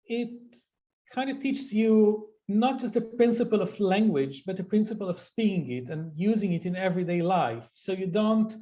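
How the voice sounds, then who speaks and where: A woman's voice: medium pitch, moderate pace, mellow, highs cut off. A woman from Israel whose first language is Hebrew, explaining things as an interview guest with frequent restarts, speaking over a video call. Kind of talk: conversational interview.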